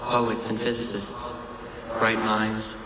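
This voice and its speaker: An actor playing a solemn messenger of a lost ancient civilization in a video game, measured, cryptic and portentous. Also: male voice, fairly low pitch, little pitch movement. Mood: solemn regret. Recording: echoing chamber with loud ambient noise.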